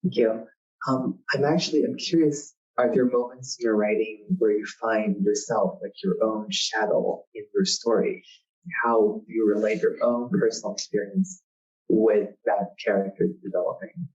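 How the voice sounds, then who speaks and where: A man's voice: fairly low pitch, fairly even intonation, moderate pace, darkish tone. A man putting a question to an author from the audience. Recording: distant mic, dull lo-fi sound.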